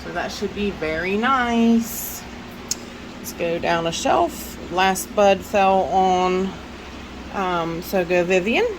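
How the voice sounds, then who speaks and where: A woman with a Southern US drawl gives an unhurried walk-through of her plants, pausing often with fillers and restarts. A head cold leaves her voice stuffy. An air conditioner hums steadily behind her on the noisy phone recording. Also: lowish voice. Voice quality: nasal when bending over. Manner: chatty, rambling with many fillers and restarts. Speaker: orchid grower giving a plant tour. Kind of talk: casual walk-through vlog narration.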